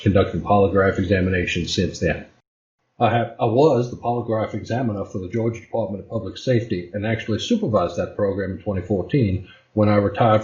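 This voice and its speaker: A man's voice: on the low side, a little mellow, lightly acted. A man playing a matter-of-fact retired state trooper giving formal expert testimony in a courtroom readers theater piece. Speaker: expert witness. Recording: dull, roomy lo-fi recording.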